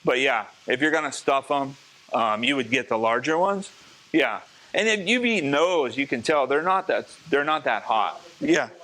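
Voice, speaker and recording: Male chef, bright voice, slightly hoarse, chatty live cooking class. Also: mid-range voice, lively intonation, moderate pace, poor audio. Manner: casual, chatty, talks to the class. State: slightly hoarse, losing his voice.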